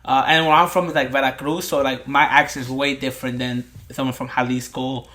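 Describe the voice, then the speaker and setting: A man's voice: medium pitch, level tone, a little fast, bright, forceful tone. A man in his twenties from Mexico, chatting casually as a podcast guest and stuttering often over repeated words. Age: 20s. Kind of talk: casual chat.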